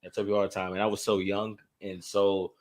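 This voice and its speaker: A man's voice: on the low side, little pitch movement, a little fast, darkish tone. A man in his thirties, a musician guest in a casual interview, laid-back, laughing often and repeating phrases. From Silver Spring, Maryland.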